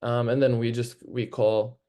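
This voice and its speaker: A young man, a student activist, speaking informally at an online gathering in a halting way, often circling back to rephrase. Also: mid-range voice, little pitch movement, dark tone. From northern Palestine. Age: young adult. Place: online video call.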